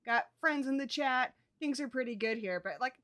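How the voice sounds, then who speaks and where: A woman hosting a casual live-stream chat from home, chatty and quick to laugh, swearing casually. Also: high voice, pushed and bright. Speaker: show host.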